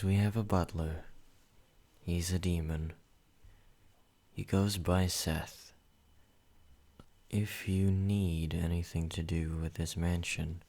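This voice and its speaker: A man playing a possessive vampire in an ASMR roleplay. He speaks low and slow, in a measured, even tone aimed straight at the listener. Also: vocal fry. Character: calm possessive male vampire host.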